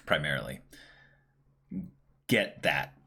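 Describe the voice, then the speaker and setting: A man's voice: rumbling low, lively intonation, takes it slowly, creaky, bright, forceful tone, breathy edge. A man co-hosting a livestream, chatting casually and reading out viewer comments in an agreeable, appreciative way. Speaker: co-host of a livestream reading audience messages.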